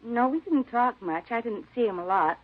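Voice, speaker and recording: An actress playing a reserved witness in an old radio police drama, giving brief, plain answers. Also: female voice, fairly high pitch, expressive, normal speed. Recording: tinny, narrow-band old radio recording.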